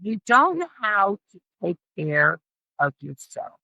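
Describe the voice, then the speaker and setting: A woman's voice: mid-range voice, very animated, slow pace, creaky, pushed and bright, dry recording, low-quality recording. A middle-aged woman speaking as an interview guest and care specialist, explaining and advising emphatically and repeating phrases for stress.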